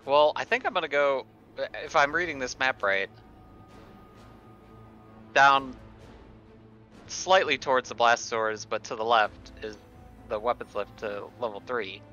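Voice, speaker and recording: Bright, forceful male voice, slightly muffled recording. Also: mid-range voice, unhurried, pauses often, soft background music. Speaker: tabletop game player. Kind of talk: casual game-table chat.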